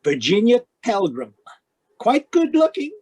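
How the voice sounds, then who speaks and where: A woman's voice: on the high side, huge pitch swings, measured pace, a little mellow, husky, heavily acted. A woman playing a polite, gossipy and easily flustered hotel desk clerk in an old-time radio drama. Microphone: video call.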